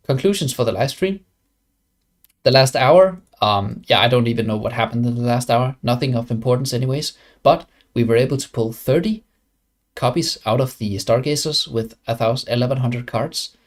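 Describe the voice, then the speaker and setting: A man's voice: medium pitch, moderate pace. A young man from Denmark with a Danish accent, chatting casually on a game live stream and rambling with many fillers and restarts. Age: young adult.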